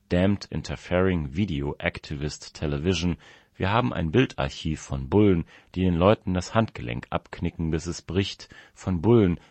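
A man reading a journalistic report aloud in a measured, factual manner. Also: low-pitched, darkish tone, no echo. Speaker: reader of a magazine report. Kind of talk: scripted narration of a journalistic report.

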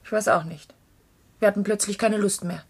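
An actress playing a listless, disillusioned office assistant in a dystopian 1970s radio play, resigned and matter-of-fact. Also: female voice, medium pitch, steady tone, moderate pace, breathy edge. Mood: resigned, weary.

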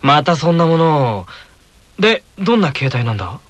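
An actor playing an earnest, exasperated teenage tennis teammate who exclaims in surprise in a radio drama. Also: male voice, fairly high pitch, big pitch swings, moderate pace. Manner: startled, exclaiming.

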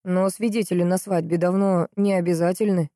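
A woman voicing a polite, sceptical, puzzled male police investigator in a crime audiobook, questioning in a measured way. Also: fairly low pitch, steady tone, warm tone, no room sound, studio quality, natural voice. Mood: probing.

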